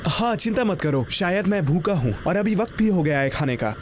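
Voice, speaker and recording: Casting: actress as curious cartoon boy, easygoing, slightly low for a woman, very lively melody, music behind. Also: a little fast, slight background noise, lightly acted. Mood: casual. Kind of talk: Hindi cartoon dub.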